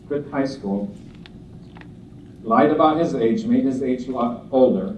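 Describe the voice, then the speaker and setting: A man's voice: medium pitch, measured pace, a little mellow. A man from Lebanon delivers an earnest, lightly joking speech to a conference audience. His voice sits in a middle register and comes from some distance, ringing around a large echoing hall. The sound is a bit dull and muffled, with faint room noise behind it. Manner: humorous, earnest storytelling with asides. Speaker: speaker at a conference. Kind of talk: motivational speech.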